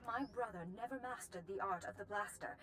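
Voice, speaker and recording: A woman's voice: medium pitch, brisk, fairly bright tone, a little hiss or hum, natural voice. An actress voicing an earnest noblewoman in a video game, speaking formally and with urgency. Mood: earnest pleading. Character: earnest young noblewoman pleading for a champion.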